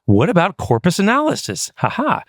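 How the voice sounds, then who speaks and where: A man's voice: high-pitched, wildly swooping pitch, a little fast, dry recording, clean studio sound. A man co-hosting a podcast, chatty and loose, with frequent fillers. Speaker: podcast co-host. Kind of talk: casual podcast chat.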